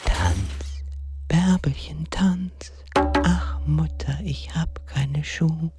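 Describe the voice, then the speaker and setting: An actor voicing an eerie figure reciting a nursery rhyme in a breathy, sing-song half-whisper for a radio drama. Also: medium pitch, sing-song, extremely slow. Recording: loud music over the voice.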